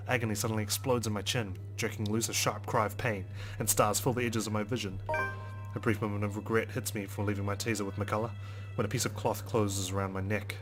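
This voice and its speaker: Low-voiced man reading a game dramatically. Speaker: let's player. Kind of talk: dramatic read-aloud of a visual novel with asides.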